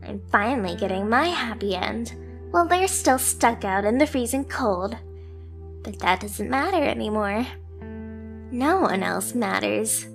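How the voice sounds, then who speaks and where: A woman's voice: fairly high pitch, gravelly. A woman voicing a submissive, obsessively devoted stray cat girl in an anime ASMR roleplay, with a girlish, eager, self-deprecating manner. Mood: smug, then adoring, obsessive. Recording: music behind the voice. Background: music.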